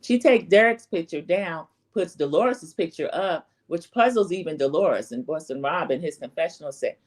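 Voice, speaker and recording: A woman's voice: deep voice, animated, normal speed. A woman with a slightly scratchy voice giving a casual, chatty, opinionated recap as the host of a reality TV commentary channel. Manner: opinionated, rambling storytelling with acted-out quotes.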